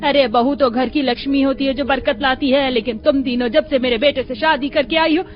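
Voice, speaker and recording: Woman acting a high-pitched scolding mother-in-law. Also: fairly even intonation, a little fast, natural voice. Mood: angry, scolding. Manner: nagging, accusing. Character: scolding, aggrieved mother-in-law of three brides. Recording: dull audio with music underneath.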